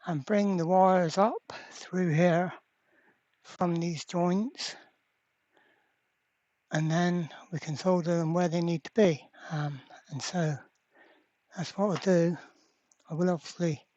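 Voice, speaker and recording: Slow-talking repair presenter, dull recording. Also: mid-range voice, fairly even intonation, a little mellow, no room sound. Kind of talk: casual hands-on workbench commentary. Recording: dull, muffled lo-fi sound.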